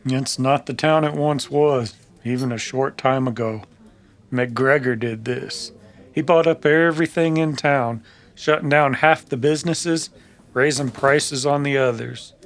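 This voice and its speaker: A world-weary Old West gunslinger, played by a male actor in a radio western. He is curt and bitter, with a mid-pitched voice and slow, somber, measured delivery. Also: fairly even intonation, close to an everyday voice. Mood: grim, sorrowful.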